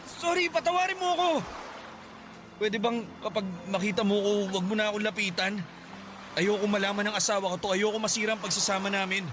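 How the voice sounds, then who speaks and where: A man's voice: high voice, quick pace, close to an everyday voice. An actor playing a deceitful married man who confesses to lying to his lover in a radio drama. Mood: pleading. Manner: pleading, then cowering. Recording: noisy radio play audio.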